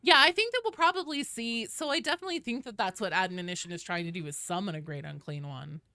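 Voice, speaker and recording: A woman's voice: mid-range voice, fast, pushed and bright, no room sound, clean studio sound. A woman hosting a casual game livestream, chatting informally and explaining things at length as she talks directly to viewers. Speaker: video game streamer, host.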